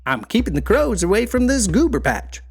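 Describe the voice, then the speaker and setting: A man's voice: high voice, big pitch swings, normal speed, slightly rough, faint music behind, voice pushed up, full character voice. A man voicing a cheerful, cunning trickster rabbit from a folktale, with a glib, folksy manner.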